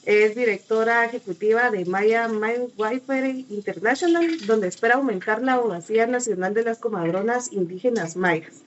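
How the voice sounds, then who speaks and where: A woman's voice: fairly high pitch, moderate pace, muffled recording, a little hiss or hum. Give me a woman host reading a formal introduction. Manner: reading a prepared introduction, polite. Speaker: student interviewer, host.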